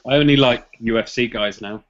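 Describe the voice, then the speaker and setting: A man's voice: mid-range voice, a little fast, fairly bright tone, highs cut off. A man hosting a casual podcast chat, laughing often and hedging his points. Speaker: podcast host. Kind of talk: casual chat about boxing rankings.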